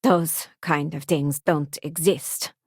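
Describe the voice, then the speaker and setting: Unhurried, earnest young female space ranger. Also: deep voice, fairly even intonation, darkish tone, husky, no room sound, studio quality, exaggerated voice. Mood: earnest, thoughtful. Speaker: voice actress playing a game companion. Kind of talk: scripted video game voice lines.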